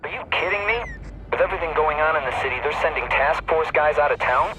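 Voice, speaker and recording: A man's voice: fairly high pitch, quick pace, over music, natural voice, rough recording. A man playing a disgruntled cop in a video game, griping in an incredulous way. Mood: exasperated.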